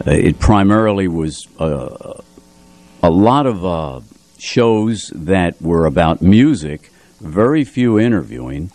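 An older man, a radio music host, reminiscing in a studio with deliberate, halting phrasing. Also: low voice, animated, a little mellow, dry recording.

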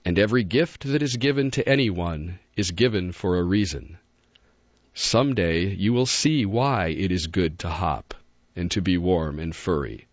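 A man voicing a great silver wolf who speaks slowly, deliberately and gently. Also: low voice, dry recording, muffled recording, pitched below the performer's own voice. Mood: gentle.

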